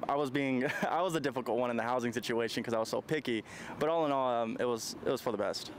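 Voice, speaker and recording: A man's voice: medium pitch, fast, a little hiss or hum. A twenty-nine-year-old male film student giving chatty, thoughtful answers outdoors into a handheld mic. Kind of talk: casual answers. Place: outdoors on campus.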